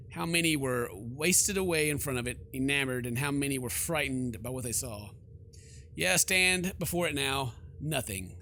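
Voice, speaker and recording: A man's voice: a little high, sharp tone, a little hiss or hum. A man hosting a let's play, reading game text aloud and commenting with jokey, theatrical reactions. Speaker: gaming video host.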